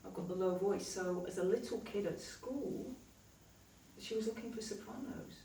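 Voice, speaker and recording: A woman with a low contralto voice talks to a live audience in a chatty, animated way, acting out the lines of people in her story. She sounds set back from the microphone in an echoey hall, with faint room noise behind her. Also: normal speed. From New Zealand. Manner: animated storyteller, acts out quotes. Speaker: healer and live event host. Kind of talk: chatty stage talk to an audience. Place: live event venue. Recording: echoey hall, heard from a distance.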